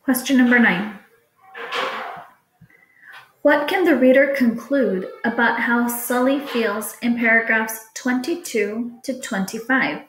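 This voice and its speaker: A woman teacher reading test questions aloud to students in a deliberate, instructional way. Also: a little high, slight background noise. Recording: echoey room.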